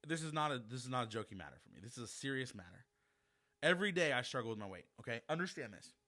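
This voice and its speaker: Podcast host, forties, man, bright forceful voice, chatty and self-deprecating. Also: medium pitch, quick pace. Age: 40s. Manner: chatty, animated, self-deprecating, addresses listeners directly. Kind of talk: casual solo podcast monologue.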